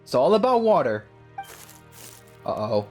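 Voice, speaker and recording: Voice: mid-range voice, big pitch swings, measured pace, a little mellow, faint music behind. A gamer giving casual, playful commentary and answering the game's dialogue in an informal way. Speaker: gaming video host. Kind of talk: casual game commentary, voicing own replies to dialogue.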